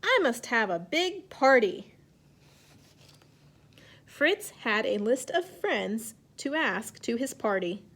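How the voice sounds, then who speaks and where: A woman's voice: fairly high pitch, very animated, takes it slowly, long pauses, a bit bright. A woman speaking as an elementary teacher to young children in a read-aloud lesson, instructing in simple phrases and asking questions.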